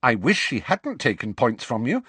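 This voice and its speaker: A man's voice: medium pitch, huge pitch swings, moderate pace, a bit bright, husky, dry recording, pitched above the performer's own voice, exaggerated voice. A man voicing an earnest, sensible teenage girl who speaks urgently and reasonably. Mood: reasoning.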